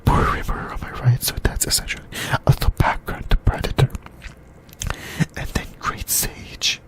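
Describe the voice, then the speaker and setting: A host whispering an ASMR discussion in a breathy, unvoiced voice, speaking in halting short phrases and repeating words. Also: fairly bright tone. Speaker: host of an anime discussion channel.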